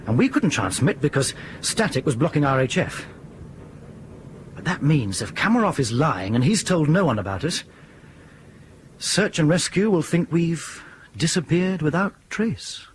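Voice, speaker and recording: Brisk, impatient airline captain. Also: male voice, on the high side, sing-song, slight background noise. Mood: concerned. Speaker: actor in a radio drama. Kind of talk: radio drama acting.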